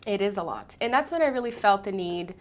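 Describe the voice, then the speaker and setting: A woman's voice: medium pitch, lively intonation, fairly quick. A woman from Brazil, a singer and speaking coach, telling her story earnestly as a guest in an interview. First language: Portuguese. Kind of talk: interview conversation.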